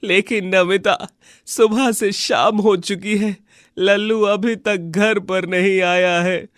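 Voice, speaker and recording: A man voicing a poor, tender-hearted villager in a cartoon moral story, plaintive and pleading in a drawn-out way. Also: high voice, steady tone, a bit bright, no echo, clean studio sound. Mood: sorrowful. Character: poor, tender-hearted villager pining for his lost bull. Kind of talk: Hindi animated moral story dialogue.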